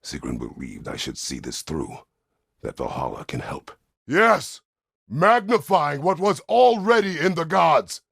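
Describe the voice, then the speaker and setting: An actor playing a stern, weary, middle-aged Greek war god in a video game, speaking tersely and formally with a gravelly, husky voice. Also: male voice, a bass voice, normal speed, dry recording, studio quality, full character voice. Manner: terse, grave, formal phrasing without contractions. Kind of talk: dramatic video game voice acting.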